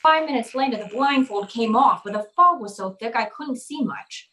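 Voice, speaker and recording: A woman's voice: on the high side, lively intonation, moderate pace, a bit bright, a little hiss or hum. A woman playing a hardboiled, unflappable private eye in an old-time radio noir drama, with a tough, businesslike delivery. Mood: wry, steady narration. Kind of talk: old-time radio noir acting and first-person narration.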